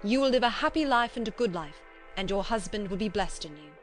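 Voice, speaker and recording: A woman's voice: medium pitch, expressive, a little fast, soft background music, close to an everyday voice. A woman voicing a kindly old foreign male doctor in an audiobook drama, emphatic and courtly, speaking formal broken English. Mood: effusive, solemn.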